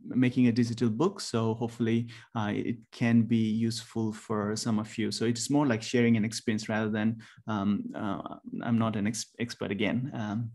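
A man from Nepal in his forties, a university lecturer giving a presentation over a video call, talking haltingly with many ums and restarts. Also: on the low side, flat delivery, darkish tone. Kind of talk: webinar presentation.

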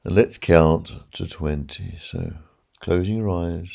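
Very deep, gravelly man guiding sleep hypnosis. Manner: slow, soothing, hushed delivery with repeated relaxation cues and counting. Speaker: hypnotherapist, channel host. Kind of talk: guided sleep hypnosis meditation. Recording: background music, echoing reverb on layered voice.

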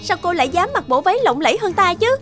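Woman as a shrill, vain, catty fairy. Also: sing-song, fast, a bit bright, full character voice. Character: vain, catty, jealous beautiful fairies at a banquet. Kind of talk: animated fairy tale dubbing. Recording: music behind the voice.

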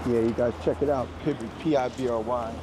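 An American man with a slightly raspy voice from a recent illness, chatting casually and informally as he hosts a walking vlog into a handheld microphone. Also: medium pitch, steady tone, fairly quick. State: getting over a sickness. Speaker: vlogger hosting a walking tour. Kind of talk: casual rambling vlog chat. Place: outdoors on a city street. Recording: noisy outdoor street ambience.

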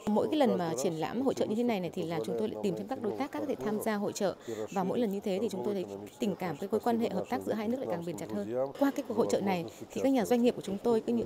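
Fast-talking woman over a loud crowd. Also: mid-range voice. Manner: conversational, with repetitions. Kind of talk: interview.

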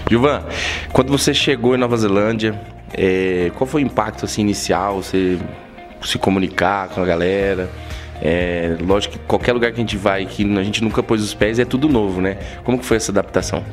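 A man's voice: fairly low pitch, steady tone, a little fast, faint music behind. A Brazilian man in his thirties hosting a casual TV interview, chatty and affirming. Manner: chatty, affirming "sem dúvida". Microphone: handheld mic.

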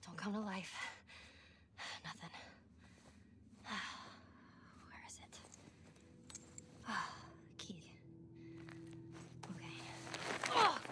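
A woman's voice: medium pitch, noisy background. A woman playing a tense, resourceful American teenage girl survivor in a video game, muttering and sighing to herself in a naturalistic way. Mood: startled, angry. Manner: breathy self-directed muttering, sighing, swearing.

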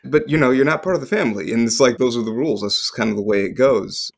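A man's voice: mid-range voice, fast, vocal fry. A man speaking as an actor guest in casual, reflective conversation.

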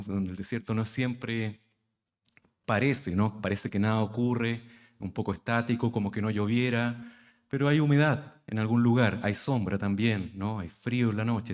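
Soft-spoken low Chilean man. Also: muffled recording. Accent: Chilean Spanish. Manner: soft-spoken, halting, many pauses and tag questions. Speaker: actor speaking from the audience. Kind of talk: reflective audience remark at a panel. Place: university conference hall.

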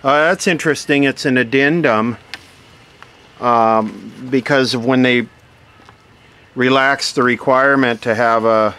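A man giving casual, hands-on unboxing commentary, musing aloud in short phrases with pauses between them. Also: mid-range voice. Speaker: vlogger unboxing vintage radio gear. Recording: steady background noise.

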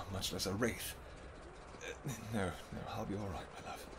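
A man's voice: fairly even intonation, unhurried, noisy background. A man playing a doting ancient vampire king. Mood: tender. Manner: shouts urgent commands, then low tender murmuring. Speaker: voice actor. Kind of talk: audio roleplay acting.

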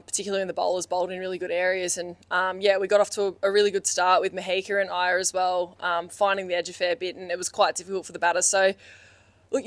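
A young woman captain rattles through her answers quickly and casually, filling gaps with ums and you-knows. Her voice sits low and level, and the sound is dry and close. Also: no room sound. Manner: quick, casual, filler-heavy. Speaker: team captain. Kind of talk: post-match press conference answers. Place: indoor press room, sponsor backdrop. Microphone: handheld microphone.

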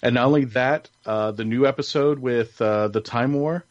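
A man hosting a casual panel chat, speaking haltingly and often repeating and rephrasing his words. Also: medium pitch, dry recording. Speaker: podcast host. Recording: dull, lo-fi close sound.

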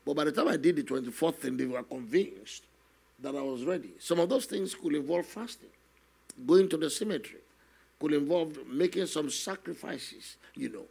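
An older man, a guest minister telling his testimony slowly and earnestly in a studio interview, often adding "you know". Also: on the high side, expressive, a little mellow. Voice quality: gravelly, husky. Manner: slow, earnest storytelling with many "you know" asides. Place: television studio, seated on a set. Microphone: stand microphone in front of him.

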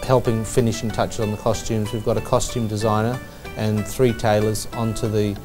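Flat-toned man explaining steadily. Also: lowish voice, normal speed. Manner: matter-of-fact, steady with fillers. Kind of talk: interview explanation. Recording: music playing behind.

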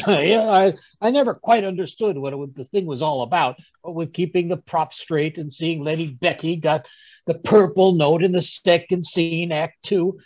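A man playing a genial, fussy older English gentleman, chattily recounting his adventures in old-time radio drama style, with a husky voice. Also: on the high side, no echo. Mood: flustered, animated. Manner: chatty, chuckling, rambling storyteller with stammered asides. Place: home room on a group video call. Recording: dull, dry video-call audio.

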